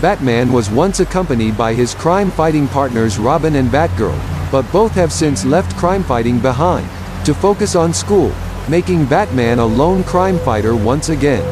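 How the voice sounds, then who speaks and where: A man's voice: mid-range voice, lively intonation, normal speed, hardly any pauses, background noise. A man narrating a scripted dramatic story as the narrator of an audio drama. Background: loud music and effects.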